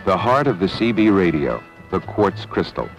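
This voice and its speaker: Deep-voiced documentary narrator, a man. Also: sing-song, muffled recording. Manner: measured, formal delivery. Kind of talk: scripted newsreel-style narration. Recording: 1970s film soundtrack with music. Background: background music.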